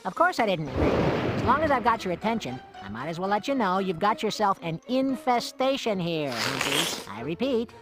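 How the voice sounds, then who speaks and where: A man's voice: high voice, big pitch swings, moderate pace. A man voicing a cocky, glib, wisecracking young creature in a cartoon game. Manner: glib salesman patter. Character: fast-talking wisecracking young male cartoon creature, cocky big brother. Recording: loud music behind the voice.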